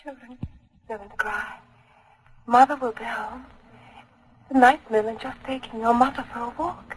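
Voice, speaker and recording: Actress as a high, distraught, delirious imprisoned mother. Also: steady tone, unhurried, natural voice. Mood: dreamy, soothing. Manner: dazed, repetitive rambling. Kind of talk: 1940s radio acting. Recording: noisy old radio broadcast.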